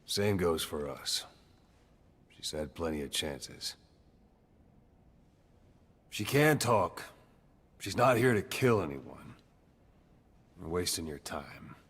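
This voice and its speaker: An actor playing a calm, commanding veteran soldier and intelligence officer, speaking with deliberate authority in a video game cutscene. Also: male voice, low voice, slightly rough, exaggerated voice. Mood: firm, cool. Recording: long hall reverb, music underneath.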